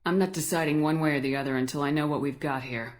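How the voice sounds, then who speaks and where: A resolute military spaceship commander in a sci-fi game, played by a woman, with a low female voice. She is firm and decisive, used to giving orders. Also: quick pace, lightly acted. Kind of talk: video game voice acting.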